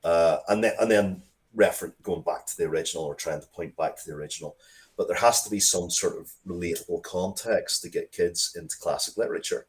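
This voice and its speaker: A man speaking casually as an interview guest, thoughtful and halting, often repeating words as he works out his point. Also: sits low. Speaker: interview guest, writer of Dracula comics and media.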